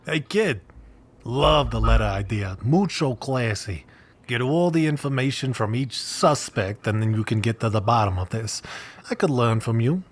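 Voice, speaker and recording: A male actor plays a cocky older big spender with a mid-pitched voice, like a character in a narrative game. He is breezy and self-satisfied, rambling along in slangy boasts with easy confidence. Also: animated, moderate pace, a little hiss or hum, close to an everyday voice. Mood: breezy, boastful.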